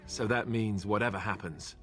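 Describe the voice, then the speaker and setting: A man's voice: fairly low pitch, steady tone, moderate pace, fairly bright tone, soft background music, lightly acted. An actor playing a wry, sarcastic young male warrior in a fantasy video game. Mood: earnest, worried.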